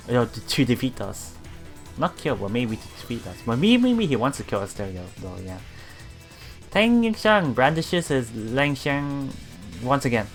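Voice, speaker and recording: A streamer reading visual novel text aloud in a halting way, adding casual commentary over game music. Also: mid-range voice. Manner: halting reading, often repeating broken words. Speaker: let's player streamer.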